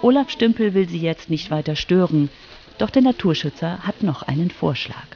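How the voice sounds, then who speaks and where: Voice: medium pitch, lively intonation, normal speed, creaky, lo-fi sound. A narrator telling a scripted radio feature for children. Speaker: narrator, reporter.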